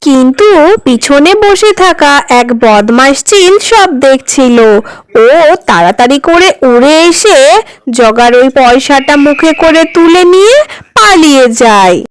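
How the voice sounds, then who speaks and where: High-voiced woman narrating a cartoon. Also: a little slow, low-quality recording. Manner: simple, even storytelling. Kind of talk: scripted storybook narration.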